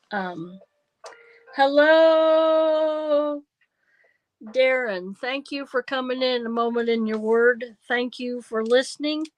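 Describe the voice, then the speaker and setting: A woman hosting a craft livestream, nasal from a sinus cold, thinking aloud slowly and hesitantly with many ums. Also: on the high side, rough recording. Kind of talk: casual craft livestream chat.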